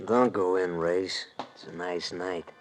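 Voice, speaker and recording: An actor playing a sly, slangy American gangster thug in a menacing 1940s radio drama style. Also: male voice, sits low, fairly even intonation, a little slow, over loud music. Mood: sly menace. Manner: slangy, ungrammatical tough talk. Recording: vintage radio drama recording.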